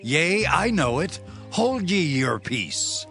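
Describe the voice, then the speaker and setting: A man's voice: a little high, sing-song, slow, gravelly, a bit bright, soft background music, heavily acted. A man playing a devoted, solemn prophet's disciple in a dramatized Bible, with declamatory delivery. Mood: stern.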